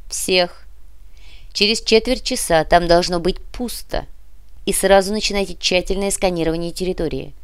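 A woman voicing a cool, authoritative male commissioner in a fantasy audiobook, giving measured, decisive orders. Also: fairly low pitch, clean tone, no echo, close to an everyday voice. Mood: decisive, composed. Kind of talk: audiobook character acting.

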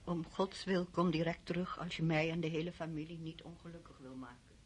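Anxious noble mother, husky, pleading. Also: low-pitched, steady tone, brisk. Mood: worried. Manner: pleading, worried. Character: anxious aristocratic mother, a countess.

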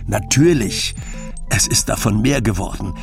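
Frank, colloquial husband, music behind. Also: male voice, on the low side, animated, a little slow, gravelly. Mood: wry. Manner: colloquial, matter-of-fact. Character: frank German husband of a Chinese woman. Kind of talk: documentary radio play, conversational monologue.

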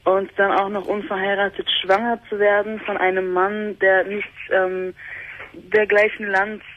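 A woman's voice: medium pitch, level tone, measured pace, a little hiss or hum. Twenty-something woman from on a call-in show, hesitant, lots of ums, tinny phone sound. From Germany. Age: 20s. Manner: hesitant, many fillers, earnest. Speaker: caller. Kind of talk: personal call-in conversation. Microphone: telephone. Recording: phone line on radio.

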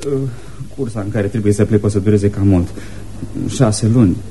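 An actor playing a shy, earnest, devoted young sailor in love, speaking hesitantly and tenderly in a radio theatre style. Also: male voice, on the low side, noisy background, close to an everyday voice.

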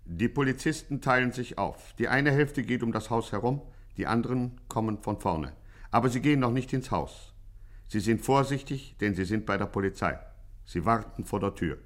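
Mid-pitched deadpan man narrating. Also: moderate pace. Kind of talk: scripted narration of radio crime satire.

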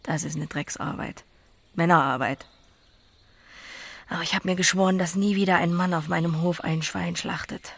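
A woman's voice: on the low side, fairly even intonation, moderate pace, gravelly, pauses often, fairly bright tone, no room sound. An actress playing a young farm woman who butchers pigs, blunt and plain-spoken but tender, in a naturalistic audio drama. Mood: matter-of-fact, earnest.

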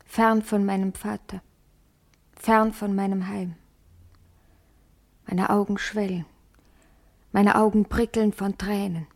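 An actress playing a homesick, unhappy schoolgirl in a radio drama, speaking with deliberate intensity. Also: medium pitch, creaky, darkish tone. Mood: tearful, homesick. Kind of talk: literary radio drama monologue.

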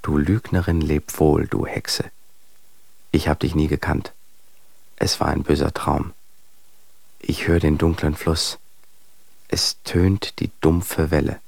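An actor playing a bitter husband who declaims scornful verse solemnly and theatrically in a radio drama. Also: male voice, rumbling low, little pitch movement, slow, creaky, pauses often, a little mellow, no room sound, exaggerated voice. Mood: bitter, brooding.

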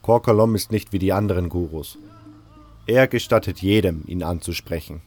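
A man's voice: low voice, moderate pace, darkish tone. A man playing a stern temple guard in a fantasy audio drama, loyal to his master and speaking in threatening warnings.

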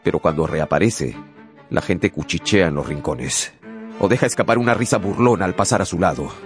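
A man's voice: low-pitched, brisk. A man narrating a literary radio drama in a scripted, dramatic storytelling style. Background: music.

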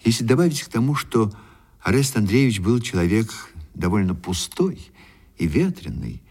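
Casting: male radio narrator, lowish husky voice, expressive and wry, pausing for effect. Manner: theatrical, deliberate pauses, wry rhetorical questions. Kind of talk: dramatic literary storytelling for radio.

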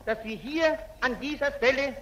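A man giving a declamatory public speech at an official opening, in short halting phrases, on an archival recording. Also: extremely high pitch, fairly bright tone.

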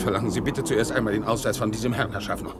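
A man's voice: lowish voice, fairly even intonation, very fast, natural voice. A man playing an indignant war veteran on crutches in a 1960s German radio play, protesting in formal, agitated speech. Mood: indignant, stubborn. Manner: polite address turning to agitated protest. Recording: noisy vintage radio play, vehicle noise.

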